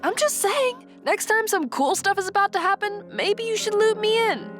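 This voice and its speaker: A woman's voice: extremely high pitch, lively intonation, normal speed, a bit bright. A woman acting an impatient, blunt young adventurer in a radio drama, brash and sardonic. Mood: miffed. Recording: music under the voice.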